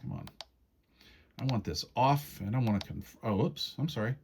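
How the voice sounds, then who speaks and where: A man's voice: medium pitch, animated, moderate pace, vocal fry, darkish tone, slight background noise. A man giving a casual, hands-on product walkthrough in a folksy, step-by-step, conversational way. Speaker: radio reviewer demonstrating menu settings.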